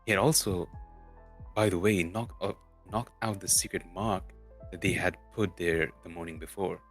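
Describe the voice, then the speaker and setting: A man reading a fantasy storybook aloud as a streamer, halting and often stumbling and repeating words. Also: low voice, fairly even intonation, fairly bright tone, soft background music. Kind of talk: amateur dramatic storybook narration.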